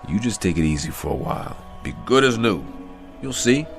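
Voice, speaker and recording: An actor playing a weary, washed-up American boxer, gentle but proud and disillusioned, in a radio drama. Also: male voice, lowish voice, sing-song, a little fast, vocal fry, soft background music. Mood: gently reassuring.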